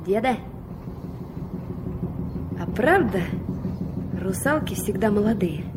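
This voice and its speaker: A woman's voice: mid-range voice, expressive, takes it slowly. An actress in a Soviet radio drama playing a dreamy, playful young girl, a granddaughter full of wondering, coaxing questions. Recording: noisy 1970s radio play recording.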